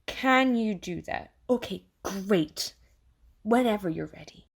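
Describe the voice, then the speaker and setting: A woman's voice: medium pitch, animated, slow pace, creaky, husky, exaggerated voice. A woman playing an eager, awkward and apologetic AI assistant who lives inside someone's head, in an audio drama monologue. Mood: awkwardly encouraging. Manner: bubbly, awkward, hesitant, apologetic, over-reassuring. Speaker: AI personal assistant. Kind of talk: audio theatre monologue addressed to the listener.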